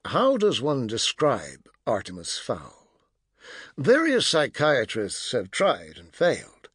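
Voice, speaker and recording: A man narrating an audiobook as scripted fiction, telling the story in a measured, dramatic and expressive way. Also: mid-range voice, husky, no echo, clean studio sound.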